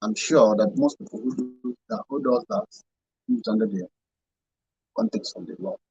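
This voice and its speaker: Male panel guest, higher husky voice, hesitant, searching for words, dull lo-fi sound. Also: wildly swooping pitch, moderate pace, warm tone, no echo. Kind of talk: radio discussion. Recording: dull lo-fi dry recording.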